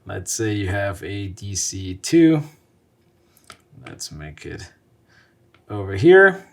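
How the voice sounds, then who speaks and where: A man's voice: lowish voice, very animated, slow pace. A man in his thirties from Switzerland presenting a casual tech explainer, conversational and thinking aloud as he sketches. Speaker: vlog host and tech presenter. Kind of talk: casual whiteboard explainer to camera. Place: small home studio indoors.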